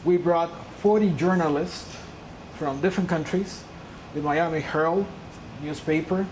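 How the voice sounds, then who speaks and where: A woman's voice: deep voice, measured pace. Woman, lecturer, earnest, second-language English, humming noisy room. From Peru. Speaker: guest lecturer. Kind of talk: public lecture with slides.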